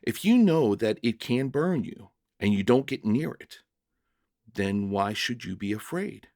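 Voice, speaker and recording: A man voicing a kind, serene male prisoner in a story reading, speaking softly, slowly and with tender reassurance. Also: mid-range voice, big pitch swings, no room sound, studio quality. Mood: earnest, kind. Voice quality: soft, kind voice. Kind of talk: dramatic short-story reading.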